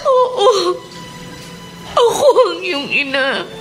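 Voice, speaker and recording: A middle-aged Filipino mother weighed down by regret. Her high voice moves widely in pitch and stammers with emotion, in melodramatic radio style over faint music. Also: sing-song, drawn-out words, heavily acted. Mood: tearful, remorseful. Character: remorseful middle-aged mother who long ago left her son.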